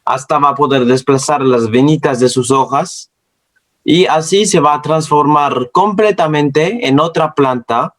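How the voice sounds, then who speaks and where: A man with a non-native accent, speaking a second language as a student presenting an educational narration in deliberate, slightly halting phrases. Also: a little high, fairly bright tone. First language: not Spanish, speaks it as a second language.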